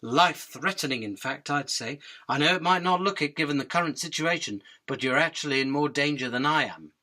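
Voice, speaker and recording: A cheeky male fly from a radio drama, acted by a man, with a highish, very bright, forceful voice. He wheedles and rambles, mixing grovelling politeness with impudent bargaining. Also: lively intonation, a little fast. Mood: pleading, bargaining. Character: cheeky, pleading, fast-talking male fly caught by a spider. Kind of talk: illustrated radio drama acting.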